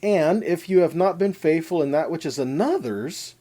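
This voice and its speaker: A man's voice: fairly high pitch, animated, normal speed, dark tone. A man teaching an informal sermon in a conversational way, addressing listeners directly and stressing points with repeated, parallel phrases. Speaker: preacher teaching a scripture lesson. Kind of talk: informal sermon with scripture readings.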